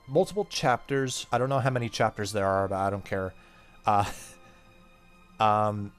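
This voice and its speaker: A man hosting a game playthrough, casually commenting and reading text aloud, sometimes tripping over words, with game music behind him. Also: on the low side. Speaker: let's play host.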